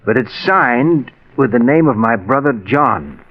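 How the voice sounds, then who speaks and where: Bewildered troubled radio-drama man, husky. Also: mid-range voice, animated, dull audio. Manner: halting, bewildered. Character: distressed man Martin, fearing his mind is failing. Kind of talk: 1940s radio acting. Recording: old radio drama recording, slightly husky sound.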